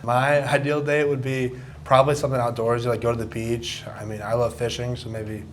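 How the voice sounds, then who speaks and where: A man's voice: medium pitch, level tone, brisk, slight background noise. A young man in casual conversation as a contestant on a dating show. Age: young adult. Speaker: blind date contestant. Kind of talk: casual chat.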